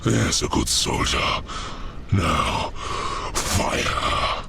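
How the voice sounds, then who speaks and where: Male narrator as cruel older general, rock-bottom pitch, drawn-out delivery, scornful praise, audiobook villain, noisy ambience. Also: very animated, creaky, heavily acted. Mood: commanding relish. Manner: scornful praise, slow relishing commands. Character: malicious, mocking older male army general.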